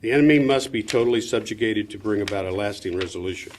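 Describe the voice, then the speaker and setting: Low-voiced man, firm and formal. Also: quick pace, a little hiss or hum. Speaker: panelist. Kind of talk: reading a prepared statement at a public forum. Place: public forum hall.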